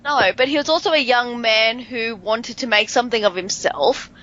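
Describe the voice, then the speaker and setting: Woman co-host with a faint Southern twang, higher-pitched, playful and argumentative. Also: fairly bright tone. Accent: hints of Southern American. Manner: playful, argumentative, drawn-out emphasis. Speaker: podcast co-host. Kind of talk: casual chat. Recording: dull lo-fi podcast audio.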